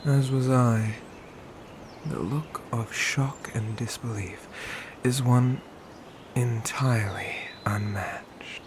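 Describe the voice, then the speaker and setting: A man playing a haughty, sadistic medieval knight in a dark fantasy ASMR roleplay, with formal, sneering, hushed delivery. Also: medium pitch, background noise, close to an everyday voice. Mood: smug. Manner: slow, sneering, formal speech, hushed at phrase ends.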